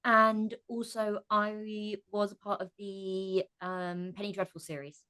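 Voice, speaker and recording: A woman's voice: mid-range voice, little pitch movement, slow pace, pushed and bright, clean tone, no echo. A woman talking as a voice actor guest in a podcast interview, giving reflective, casual answers.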